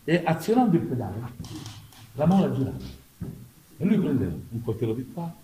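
An actor playing a man with a southern Italian accent who reminisces about his rural childhood in halting, repetitive conversational storytelling. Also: mid-range voice, sing-song, mellow, close to an everyday voice. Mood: explanatory. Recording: echoey room with background noise.

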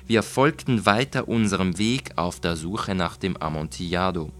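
Male audiobook narrator: low voice, measured and deliberate literary storytelling, music underneath. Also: fairly bright tone.

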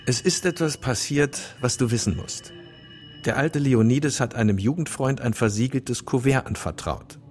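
A man playing a grave police commissioner and father in a radio crime drama, measured and serious. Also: mid-range voice, a little mellow, soft background music, natural voice.

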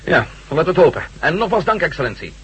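A man's voice: on the high side, big pitch swings, fast, very noisy. A man acting an earnest, deferential conspirator in a Dutch radio drama, polite and eager as he addresses a superior. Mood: grateful, polite. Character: deferential, earnest male conspirator pleading for help.

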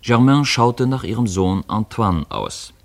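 Low-voiced man narrating a radio play. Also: expressive, measured pace.

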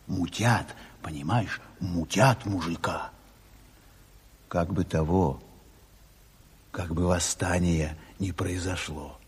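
An earthy grain-requisition agent with a very raspy, breathy voice and swooping, lively intonation. He talks in folksy, worried bursts, repeating himself, in a Soviet radio drama recorded with long hall reverb. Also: lowish voice, full character voice. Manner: colloquial, folksy, repeats words for emphasis.

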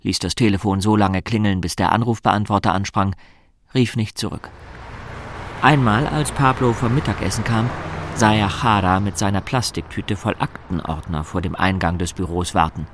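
A man's voice: on the low side, steady tone, moderate pace, background noise. A man reading scripted narration for a radio drama, telling the story in literary prose.

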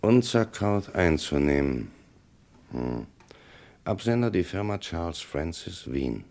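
A man's voice: low voice, very animated, takes it slowly, darkish tone, full character voice. A man playing a sober, persistent police inspector in a vintage radio crime drama, probing and matter-of-fact. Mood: inquisitive.

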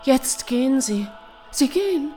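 A woman's voice: high-pitched, expressive, a little slow, dark tone, soft background music. An actress in a radio play playing an anguished, fearful young woman in a plaintive manner. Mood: distressed. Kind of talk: slow symbolist radio play acting.

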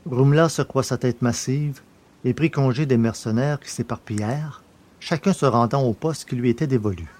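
A man's voice: mid-range voice, normal speed, darkish tone. A man narrating a scripted science fiction adventure story in a literary, storytelling style.

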